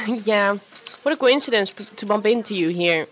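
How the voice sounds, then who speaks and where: A woman's voice: medium pitch, normal speed, a bit bright, natural voice. A woman playing a friendly, chatty, self-doubting young woman in an amateur radio play, with a hesitant, natural delivery. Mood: shy, friendly.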